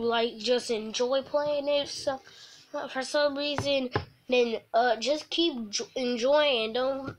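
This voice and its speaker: A woman livestreamer reading a visual novel aloud, haltingly, breaking off for casual offhand asides. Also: on the high side. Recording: noisy mobile stream with clicks.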